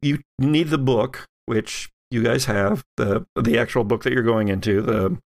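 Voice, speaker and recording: Gravelly man running a tabletop game. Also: mid-range voice, measured pace. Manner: conversational, explanatory. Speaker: game master. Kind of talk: improvised narration of a tabletop game.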